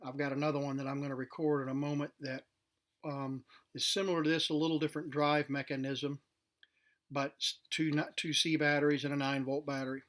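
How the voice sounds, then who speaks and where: A man's voice: on the high side, level tone, brisk, a bit bright. A man explaining and demonstrating equipment casually, in a plain, somewhat hesitant, explanatory way. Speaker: vintage tech demonstrator. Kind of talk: casual unscripted product demonstration.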